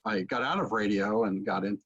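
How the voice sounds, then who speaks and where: A middle-aged man chatting as an interviewer, musing and a little halting, on a video call from a room at home. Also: lowish voice, fairly bright tone, breathy edge. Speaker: interviewer, theater teacher. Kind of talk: casual interview chat. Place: book-lined room at home, indoors. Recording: dull lo-fi video call audio.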